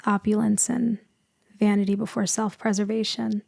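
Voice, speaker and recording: Woman acting as a gentle immortal vampire woman, soft creaky voice, close and dry, wistful ASMR storytelling, frequent whispers. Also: mid-range voice, little pitch movement, no echo. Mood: wry storytelling. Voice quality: soft, breathy, often whispering. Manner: slow, hushed, wistful, intimate. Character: gentle, melancholy young-looking immortal female vampire from New York. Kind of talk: storytelling sleep ASMR roleplay.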